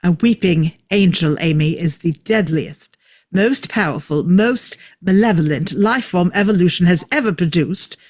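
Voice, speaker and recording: A man's voice: sits high, moderate pace, dry recording, clean studio sound. A man reading the part of a clever, eccentric time traveller at a table read, explaining things with wry emphasis. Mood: grim, wry.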